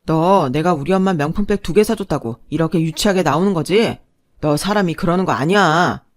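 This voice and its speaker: A woman's voice: fairly low pitch, fairly quick, natural voice. A woman voicing a resentful young husband who complains defensively. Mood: drunk, sulky. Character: sulky resentful husband, young adult man.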